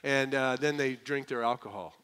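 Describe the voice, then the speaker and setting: A man preaching a sermon, lively and conversational, slowing down hard on key phrases and slipping into quick mock voices of doubters. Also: mid-range voice, clear, resonant tone, rough recording. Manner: lively preaching, mimics skeptics, slows for emphasis. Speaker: pastor.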